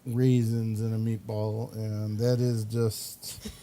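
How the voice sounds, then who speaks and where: Relaxed guy hosting a chat show, takes his time, some noise behind him. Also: fairly low pitch, slow pace. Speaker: talk show host. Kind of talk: casual chat, commenting on pictures. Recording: clear background noise.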